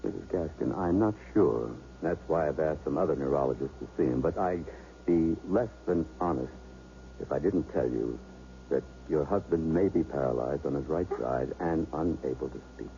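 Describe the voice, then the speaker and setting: Blunt hospital doctor: very deep, mellow, measured; dull vintage radio drama recording. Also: male voice. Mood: matter-of-fact. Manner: measured, matter-of-fact. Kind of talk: 1970s radio drama acting. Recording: dull old radio recording with faint hiss.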